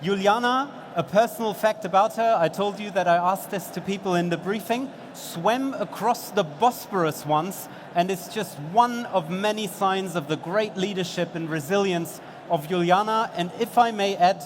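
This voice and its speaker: A man's voice: high-pitched, moderate pace, hardly any pauses, a little hiss or hum. A man moderating a conference session, introducing a guest speaker in an earnest, slightly formal way. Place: conference stage.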